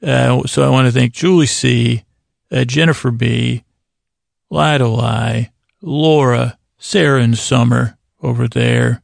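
A man hosting a bedtime podcast in a halting, meandering monologue, repeating himself, with a gravelly voice close to the microphone. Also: medium pitch, fairly even intonation, no room sound. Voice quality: gravelly, creaky. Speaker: podcast host. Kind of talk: rambling bedtime monologue to help listeners sleep.

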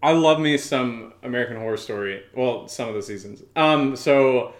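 A man's voice: mid-range voice, normal speed. A man co-hosting a podcast in casual Q&A chat, feeling out his answers with loose, hesitant, circling phrasing. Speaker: podcast co-host. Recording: echoey, roomy sound.

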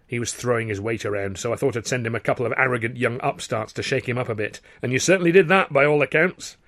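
A man's voice: mid-range voice, fast talker, fairly bright tone, no echo, studio quality, natural voice. A man voicing a middle-aged male theatre impresario who is jovial but touchy, hesitant then snapping. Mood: probing.

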